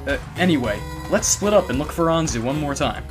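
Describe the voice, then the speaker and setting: Woman as low, animated tough anime schoolboy. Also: a little fast. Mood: serious. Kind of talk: amateur anime fan dub acting. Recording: music under the voice.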